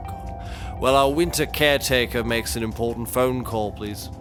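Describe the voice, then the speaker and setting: A man playing a deferential ghostly bartender in a comedy audio drama, speaking with formal, measured courtesy. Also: mid-range voice, over music, close to an everyday voice. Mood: hesitant, polite. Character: deferential, morbidly polite phantom male bartender.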